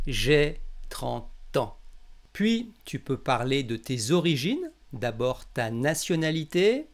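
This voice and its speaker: A man from France with a French accent, teaching a language lesson in a didactic way and carefully enunciating example phrases. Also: medium pitch.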